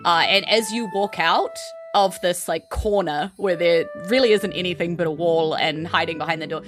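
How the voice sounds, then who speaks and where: A woman with a New Zealand accent narrates a tabletop game as its game master. Her voice sits a little low, and she improvises conversationally with faint music underneath. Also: moderate pace.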